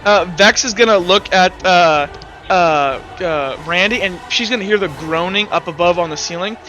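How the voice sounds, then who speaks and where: High, bright-voiced man running a tabletop game. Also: moderate pace. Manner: casual, profane, amused. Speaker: game master. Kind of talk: improvised narration of a tabletop roleplaying game. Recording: faint music behind the voice. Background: faint music.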